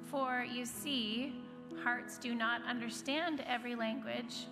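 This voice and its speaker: A woman's voice: fairly high pitch, steady tone, takes it slowly. A woman reading a children's storybook aloud as narrator in a large church space. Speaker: story reader. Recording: reverberant hall, music behind the voice. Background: soft instrumental music.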